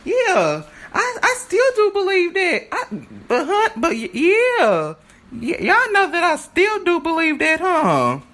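A woman playing a high-pitched, halting, sassy divorced wife. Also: huge pitch swings, a bit bright, heavily acted. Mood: emphatic, excited. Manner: stammering, repeats words, sighs and laughs often. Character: sassy, boastful, rambling divorced wife from a stage play.

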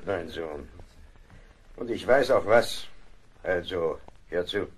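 Ship's surgeon, husky, unhurried, blunt, fatherly, seasoned, radio play. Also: fairly low pitch. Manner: blunt, matter-of-fact, fatherly. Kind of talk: audio drama acting.

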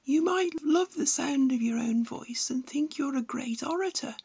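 A woman's voice: sits high, normal speed, darkish tone, highs cut off. A woman reading scripted instructional narration in a blunt, didactic manner. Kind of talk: scripted narration, tutorial with opinionated advice.

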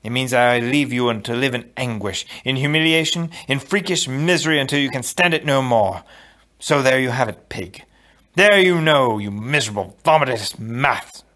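A man's voice: mid-range voice, expressive, pushed and bright. A man acting out a defiant young hero, swooping and menacing. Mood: contemptuous. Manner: slow, emphatic, theatrical threats.

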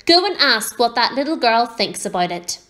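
A woman voicing a brisk, firm mother barn owl in a storybook, insistent and instructing. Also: fairly high pitch, sing-song, fairly bright tone. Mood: firm, prompting.

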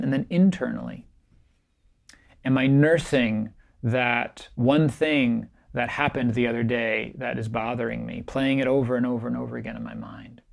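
A middle-aged man, a meditation teacher, giving a calm, reflective keynote talk to camera in a small, bright room. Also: mid-range voice, vocal fry. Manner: calm, reflective, gently emphatic. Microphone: camera framed at chest height, facing the speaker.

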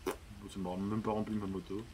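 A man's voice: on the low side, little pitch movement, a little fast, a loud crowd. A man playing an online roleplay game, trolling others with crude, provocative casual banter.

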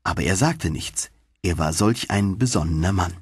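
A man narrating a fairy tale for children as an audiobook, in an expressive, dramatic storytelling manner. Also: low-pitched, moderate pace, slightly rough, dry recording, studio quality.

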